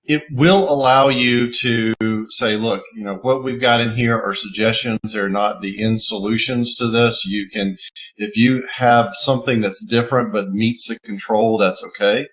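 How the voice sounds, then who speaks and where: A man, a cybersecurity expert on a live panel, talking in a measured, halting way with frequent pauses. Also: lowish voice, fairly even intonation, fairly bright tone, poor audio. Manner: measured, halting, many fillers. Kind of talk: thoughtful panel discussion.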